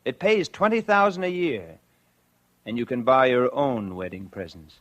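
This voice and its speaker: An actor playing a nervous, scheming murderer who narrates his crime in a deliberate, confessional way, in 1940s radio suspense style. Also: medium pitch, lively intonation, a bit bright, dry recording, muffled recording, natural voice. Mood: falsely friendly. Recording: vintage radio drama with music underneath.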